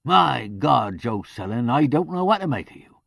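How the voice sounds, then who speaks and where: A man voicing a gruff, exasperated middle-aged police superintendent. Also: mid-range voice, very animated, normal speed, fairly bright tone, dry recording, studio quality, voice pushed up, heavily acted. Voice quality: gruff, blustering.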